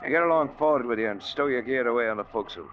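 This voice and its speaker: An actor playing a stern, gruff ship's captain with a curt, formal, commanding manner in an old-time radio drama. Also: male voice, mid-range voice, lively intonation, racing, lightly acted. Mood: stern authority. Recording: dull vintage radio recording.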